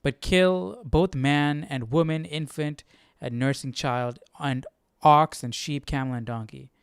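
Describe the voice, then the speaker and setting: A male host with a slightly high, clear and smooth voice talks casually on a study stream. He reads aloud and thinks through questions as he goes, recorded close and dry. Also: fairly even intonation, measured pace, dry recording. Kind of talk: casual live commentary, reading aloud.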